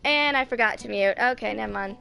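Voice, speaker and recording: A woman's voice: fairly high pitch, a little fast, creaky. A woman in her twenties giving casual gameplay commentary and reading game text aloud. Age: 20s. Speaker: gaming streamer. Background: game music.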